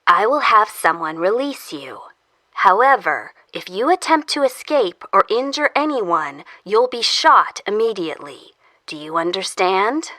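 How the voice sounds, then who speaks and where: A composed woman captor in an audio horror story, played by an actress. Her voice is bright and crisp-edged, and she takes her time, politely stretching words with quiet menace. Her pitch rises and falls freely. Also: sing-song, a little slow. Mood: stern. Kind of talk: audio fiction acting.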